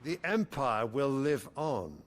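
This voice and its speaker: A man playing a ruthless, haughty emperor in a fantasy game cutscene, speaking in a measured, commanding way. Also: mid-range voice, big pitch swings. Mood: cold, grandiose. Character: cold imperious emperor, ruthless ruler and father.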